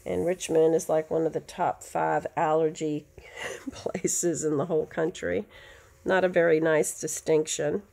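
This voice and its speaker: Woman, low pitch, nasal, chatty craft teacher, rambling asides, sewing table at home. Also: little pitch movement, measured pace, gravelly, darkish tone. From United States. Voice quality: nasal from allergies. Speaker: quilting teacher. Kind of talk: casual craft tutorial.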